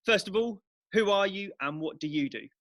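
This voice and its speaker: Bright-voiced male podcast host. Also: high-pitched, expressive, moderate pace, muffled recording. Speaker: podcast host interviewing staff. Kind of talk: casual interview hosting.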